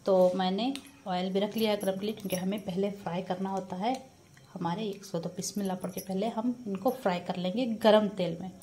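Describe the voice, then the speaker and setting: A woman cooks in her home kitchen, talking viewers through each step in an easygoing, friendly manner. Her voice sits at an ordinary middle pitch, picked up by a phone held over the stove. The sizzle of frying and the scrape of a spoon run clearly behind her. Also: fairly even intonation, a little fast, warm tone, slight background noise. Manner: friendly, addresses viewers as nazreen, frequent religious blessings. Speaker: cooking vlogger. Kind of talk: casual cooking tutorial narration.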